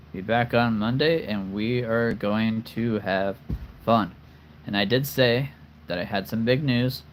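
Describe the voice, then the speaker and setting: A man's voice: medium pitch, slight background noise. A man hosting a casual livestream, talking earnestly and rambling with many fillers and restarts. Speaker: collectible figure channel host.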